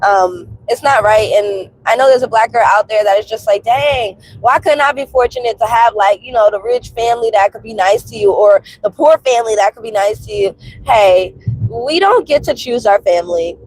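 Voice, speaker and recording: A woman's voice: fairly high pitch, moderate pace, a little mellow, slight background noise, poor audio. A woman in her twenties chatting casually and candidly to her viewers in an emphatic, colloquial way, sounding stuffy from a cold. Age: 20s. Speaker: host of a personal commentary vlog. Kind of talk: casual, confessional chat to viewers.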